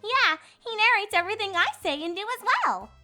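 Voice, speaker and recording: A woman voicing a goofy, excitable female cartoon pony with a chirpy, exaggerated delivery in a comedic reading. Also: extremely high pitch, brisk, soft background music, heavily acted. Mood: excited. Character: bubbly, goofy pink cartoon mare, scatterbrained and excitable. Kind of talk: acted comedic fan fiction reading.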